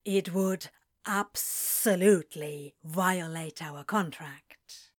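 A woman's voice: on the low side, takes it slowly. A woman playing a sly, grumbling male snake in an audio drama, drawling and stretching out the vowels. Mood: mild, needling. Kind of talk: acted fiction podcast dialogue.

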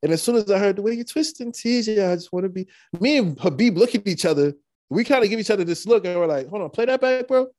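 A man's voice: sits high, quick pace, dry recording, studio quality. A young man, a rapper, telling stories casually with lots of fillers and restarts. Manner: relaxed, rambling, many fillers and restarts. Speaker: rapper guest. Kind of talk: casual storytelling interview.

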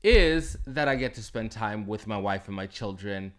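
A man in his forties from Canada, talking casually as co-host at an easy, measured pace, often stopping on fillers as he looks for words. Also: on the low side, lively intonation, fairly bright tone. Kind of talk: casual conversation.

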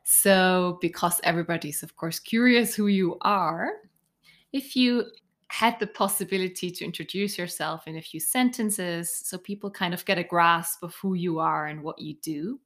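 A woman presents an interview podcast in a slightly low voice. She speaks as the host, laying out her sentences deliberately, one phrase at a time. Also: fairly bright tone.

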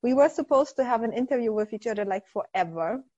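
A woman's voice: on the high side, animated, fast, warm tone, muffled recording. A woman hosting a podcast interview, talking in an effusive, enthusiastic motivational way. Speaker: podcast host.

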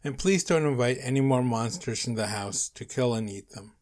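A man's voice: mid-range voice, normal speed, darkish tone, close to an everyday voice. A man voicing a polite, long-suffering crocodile caretaker in a story, worried and pleading. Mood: resigned.

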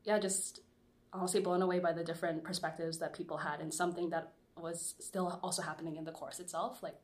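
A woman with a somewhat low voice speaks earnestly as an interview guest. She muses through her thoughts, and her endings often trail off softly. Her delivery is casual and conversational. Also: level tone, fast talker. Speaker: interview guest, seminar facilitator.

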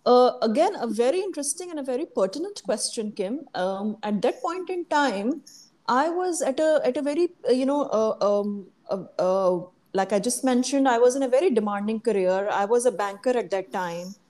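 A woman speaks as a guest in a relaxed interview, earnest and thoughtful. Her slightly high voice pauses often on "uh" and "you know" while she searches for words. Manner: earnest, reflective, hesitant with fillers. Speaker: guest, hypnosis coach. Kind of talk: casual interview chat.

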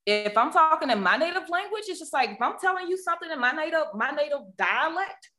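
A woman with a deep Southern accent talks in a casual podcast chat, her voice bright and a touch high. She tells her stories with animation and laughs easily. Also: lively intonation, fast. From Southern United States. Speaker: podcast co-host, ICU nurse.

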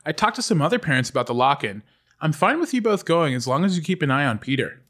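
A man's voice: a little high, sing-song, fast, a bit bright. A man playing an affectionate, weary mother with a doting, wry manner in a comedy table read.

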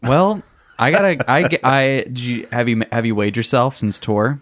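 A man's voice: mid-range voice, lively intonation, normal speed, a bit bright, dry recording. Playful young man co-hosting a podcast. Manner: playful, jokey, with frequent restarts. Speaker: podcast co-host. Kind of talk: casual banter.

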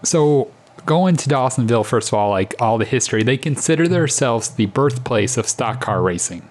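A man's voice: medium pitch, lively intonation, moderate pace. A young man co-hosting a podcast, telling stories in casual chat. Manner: chatty, tells stories. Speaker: podcast co-host.

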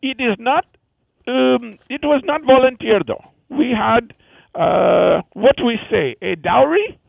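A man doing a high rasping Indian woman. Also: lively intonation, no room sound, heavily acted. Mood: rambling. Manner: halting, broken English with many fillers. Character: woman amputee from India with transplanted hands. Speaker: phone-in comedy caller. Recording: tinny phone line.

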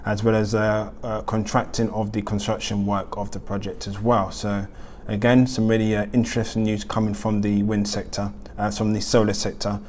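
Man hosting a halting news roundup. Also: on the low side, little pitch movement, a little mellow, a little hiss or hum. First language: not German. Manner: hesitant, many ums and uhs. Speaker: host. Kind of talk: weekly news roundup vlog.

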